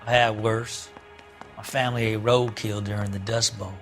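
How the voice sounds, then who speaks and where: A man's voice: fairly low pitch, level tone, normal speed, a bit bright, faint music behind, lightly acted. Man playing a folksy down-and-out ex-convict. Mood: defiant. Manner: evasive, folksy drawling grammar. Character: down-and-out folksy ex-convict, sullen and slippery. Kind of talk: cinematic video game acting.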